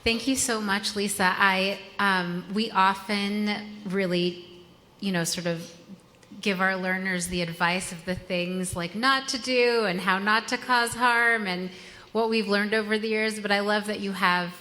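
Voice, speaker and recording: Bright-voiced woman moderating. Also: medium pitch, steady tone, a little slow. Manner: loose, conversational, many fillers. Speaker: event moderator. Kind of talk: casual moderating. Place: large lecture hall. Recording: long reverb in a lecture hall.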